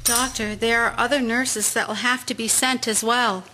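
An actress in a radio drama playing a dutiful army nurse. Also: female voice, fairly high pitch, fairly even intonation, moderate pace, very noisy, natural voice. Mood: concerned.

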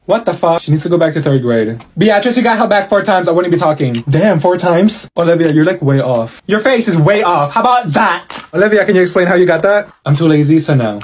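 Fast high-pitched husky guy doing classroom skit. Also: expressive. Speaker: sketch comedian voicing a classroom. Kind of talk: rapid comedic skit of several characters.

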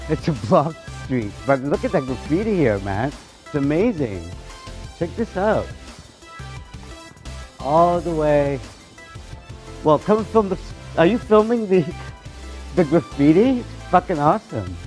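A man's voice: a little high, very animated, measured pace, pauses often, darkish tone, faint music behind. Playful man on a street. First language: Spanish. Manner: playful, chatty, laughs often. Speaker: interviewee. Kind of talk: casual walking street interview. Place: narrow city street outdoors.

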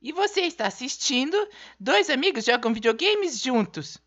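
A woman's voice: sits high, very animated, moderate pace, a bit bright, dull audio, full character voice. A woman with a Brazilian accent voicing a naive, eager, put-upon female rabbit-like creature in a cartoon fandub, earnest and whiny. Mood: cheerful, presenting.

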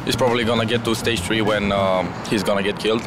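A man's voice: on the low side, fairly even intonation, quick pace, over loud music. A young man casually giving his opinion in an outdoor street interview. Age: young adult. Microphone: interviewer's handheld microphone.

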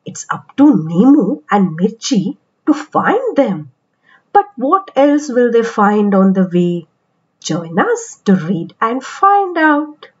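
A woman with an Indian accent reading aloud to young children as a storytelling host, with deliberate, expressive phrasing. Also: a little high, muffled recording. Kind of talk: animated picture-book read-aloud for kids.

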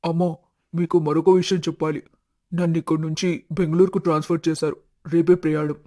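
A man voicing a dutiful, respectful grown son in an animated family story, speaking earnestly. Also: a little high, a little fast, close to an everyday voice. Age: young adult.

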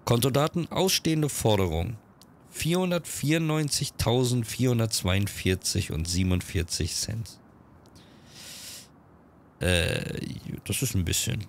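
A man with a cold, chatting quickly through casual gameplay commentary and reading on-screen text aloud. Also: mid-range voice. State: has a cold. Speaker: let's-play gamer.

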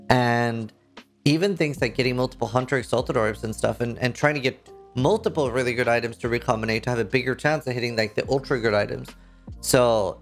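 Gravelly Norwegian man gushing about a game. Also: medium pitch. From Norway. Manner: enthusiastic, rambling, lots of fillers. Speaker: streamer. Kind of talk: casual game commentary to camera. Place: indoor streaming room.

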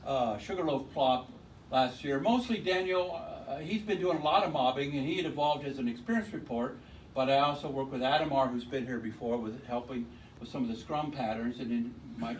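A man with a loud voice giving an informal technical talk at a meetup, rambling and restarting his sentences. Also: medium pitch, far from the mic, slight background noise, low-quality recording. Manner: rambling, with many restarts and repeated words. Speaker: conference speaker. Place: lecture room at a tech meetup. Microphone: handheld mic, often held away from the mouth.

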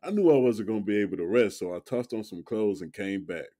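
A man's voice: lowish voice, animated, a little fast, mellow. An American actor playing a protective young man on a date, speaking earnest, slangy dialogue in an audio drama. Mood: apologetic, earnest.

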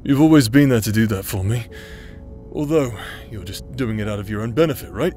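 A man's voice: on the low side, animated, fairly quick, mellow, faint music behind, close to an everyday voice. A man acting out a cocky, battle-worn adventurer, protective and teasing, in an audio roleplay. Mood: tender, flustered.

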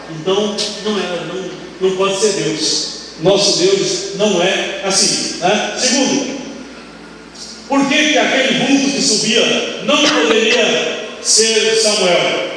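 An older Brazilian pastor teaches from the pulpit, his fairly high voice ringing through a large church hall. He is caught from far off with a long, booming reverb and faint hiss behind him. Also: a bit bright. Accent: Brazilian Portuguese. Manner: conversational preaching with tag questions and quick asides. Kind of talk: teaching sermon. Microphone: handheld mic, recorded from far camera.

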